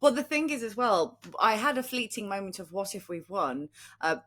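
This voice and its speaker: A woman's voice: mid-range voice, lively intonation, normal speed, a bit bright. A British woman co-hosting a podcast in casual chat, speaking in a candid, self-mocking way. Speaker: podcast co-host. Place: room in central London.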